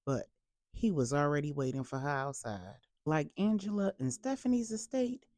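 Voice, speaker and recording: A woman storyteller giving a deliberate, emphatic, dramatic narration of a bedtime story for grown-ups. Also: fairly low pitch, dark tone, no room sound, clean studio sound.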